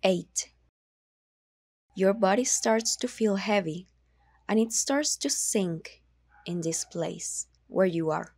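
Female meditation narrator, mid-pitched, very measured and soothing, slow delivery with room to breathe. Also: a little mellow, husky, no room sound, studio quality. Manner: soothing, slow, measured instructions with long pauses. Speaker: meditation guide.

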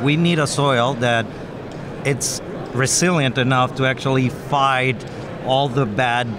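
A young man, an agronomist guest, explaining earnestly with everyday analogies into a desk mic at a trade show booth. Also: medium pitch. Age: young adult. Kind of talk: explanatory interview answers. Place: busy expo hall booth. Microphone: desk microphone on stand. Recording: background noise of trade show floor.

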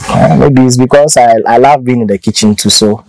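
A man's voice: medium pitch, a little fast, a little mellow, no room sound, poor audio. A man in his thirties from Ghana, a farmer telling his story in a casual interview, easygoing and restarting often, recorded outdoors under a thatched shelter on a lapel mic.

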